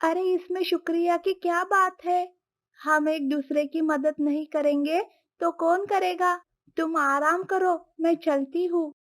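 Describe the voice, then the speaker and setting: A woman voicing a kind, caring cat in an animated moral story. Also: extremely high pitch, unhurried. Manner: caring, soothing. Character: kind, helpful female cat, friend to all animals.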